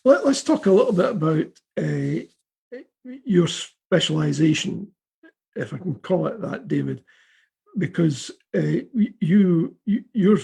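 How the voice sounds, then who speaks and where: A male host with a very gravelly, husky voice that sits fairly high for a man. He talks in a chatty way in a casual interview. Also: expressive, a little slow, mellow.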